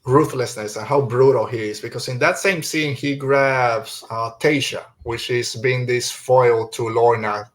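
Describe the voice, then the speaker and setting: A man's voice: mid-range voice, fairly even intonation, a little slow, hardly any pauses. A man hosting a casual podcast review, retelling things in an informal, rambling way. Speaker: podcast host.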